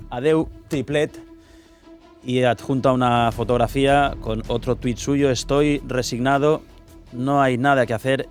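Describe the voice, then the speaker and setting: A man hosting a sports talk show, presenting guests and reading out social media posts. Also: medium pitch. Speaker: radio show host.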